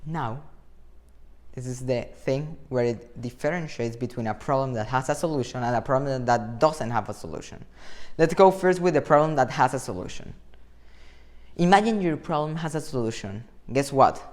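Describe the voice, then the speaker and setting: A man in his twenties with a Venezuelan accent, a motivational speaker talking to camera in a playful, animated way and acting out imagined dialogue. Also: mid-range voice. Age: 20s. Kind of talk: talk to camera.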